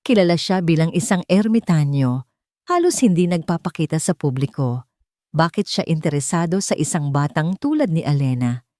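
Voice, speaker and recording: Low-voiced, mellow woman narrating a story. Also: lively intonation, moderate pace, dry recording, clean studio sound. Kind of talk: scripted dramatic story narration.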